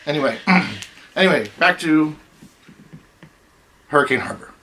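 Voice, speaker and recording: A middle-aged man hosting a casual chat, rambling with many ums, his voice going hoarse. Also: on the high side, animated, unhurried, sharp tone, a little hiss or hum. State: losing his voice. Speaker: host.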